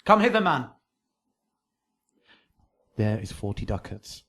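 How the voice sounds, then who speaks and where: Man as deep-voiced, desperate young lover. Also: big pitch swings, slow pace, a bit bright, slightly rough, full character voice. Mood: bitter. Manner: intense, commanding declamation. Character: passionate, desperate young nobleman in love. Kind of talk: Shakespearean radio drama acting.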